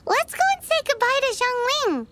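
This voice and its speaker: A tiny childlike fairy sidekick from a video game. The voice is squeaky and extremely high, with excitable, cheeky swings in pitch. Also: female voice, moderate pace, heavy background noise. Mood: chirpy. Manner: squeaky, speaks of self in third person. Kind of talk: video game character acting.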